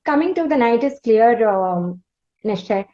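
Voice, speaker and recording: A woman hosting a music interview, effusive and rambling, with frequent hesitant pauses. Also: on the high side, expressive, muffled recording. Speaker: interviewer, host.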